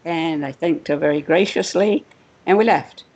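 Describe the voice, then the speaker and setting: A woman's voice: low voice, moderate pace, a little mellow, dull audio. A woman from Wales with a British accent, a guest telling a personal story, chuckling often and acting out quoted voices. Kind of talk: anecdotal storytelling.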